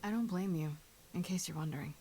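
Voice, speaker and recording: A woman's voice: lowish voice, quick pace, close to an everyday voice. An actress playing an earnest, wounded but defiant young woman in a video game drama, with emotional delivery. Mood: forgiving, subdued. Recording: game audio with faint background noise.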